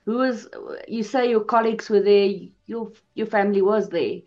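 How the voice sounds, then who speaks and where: A woman's voice: mid-range voice, moderate pace, darkish tone. A woman interviewer putting sympathetic questions to her guest. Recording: dull, muffled sound.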